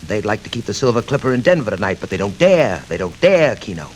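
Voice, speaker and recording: An actor playing a smug, calculating gang boss in 1940s radio style, deliberate and commanding, with mocking amusement. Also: male voice, fairly low pitch, big pitch swings. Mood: smug, gloating. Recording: noisy, crackly old radio show record.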